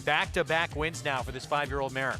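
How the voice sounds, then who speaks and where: A man hosting a horse racing broadcast, brisk and jokey between race calls. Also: on the high side, steady tone, pushed and bright, faint music behind. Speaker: racing show host.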